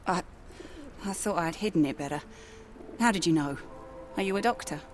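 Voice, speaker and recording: A woman's voice: lowish voice, brisk, soft background music, natural voice. An actress playing a guarded, plain-spoken woman who runs a Victorian port tavern in a video game. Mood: flustered, guarded. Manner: wary, matter-of-fact. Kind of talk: video game cutscene acting.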